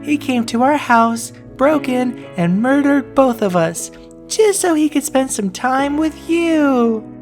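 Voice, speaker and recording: A man voicing an eerie, scolding mother in an unnatural falsetto for a horror story. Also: animated, slow, soft background music, full character voice. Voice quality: strained falsetto.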